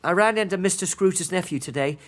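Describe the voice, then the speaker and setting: A man's voice: sits high, fairly quick, fairly bright tone. An actor playing a loving, grieving father who keeps a brave face, speaking tenderly and earnestly in a radio play. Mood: brave composure. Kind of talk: theatrical radio-play acting.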